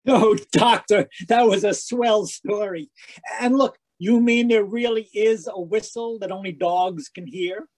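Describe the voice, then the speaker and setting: A man playing an eager, cheery 1940s radio announcer and sponsor pitchman. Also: measured pace, darkish tone. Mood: eager, chummy.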